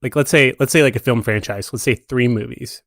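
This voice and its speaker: A man co-hosts a podcast in an easygoing, joking way. His voice is medium in pitch for a man. The sound is very dry, with almost no room around it. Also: fairly quick, a bit bright, no echo. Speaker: podcast co-host. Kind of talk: casual chat.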